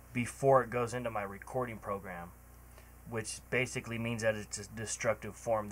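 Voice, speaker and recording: A man's voice: medium pitch, fairly bright tone. A man casually walking through a home recording tutorial on a cheap microphone in a home room, rambling with ums and restarts. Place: home room with computer running and an open door.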